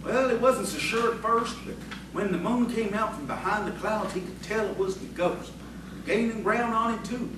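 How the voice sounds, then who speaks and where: A man acts a village blacksmith in a radio play, telling tales in a folksy, rustic way. His voice is high, heard from a distance in a very echoey, noisy room. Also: moderate pace, close to an everyday voice. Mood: gripping storytelling. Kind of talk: audio theatre acting. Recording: echoey room, distant mic, clear noise.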